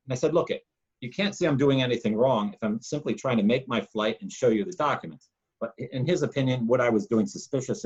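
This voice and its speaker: A man, a monk hosting a casual talk, telling a long personal story in a loud, emphatic, rambling way. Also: lowish voice, highs cut off. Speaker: podcast host, monk.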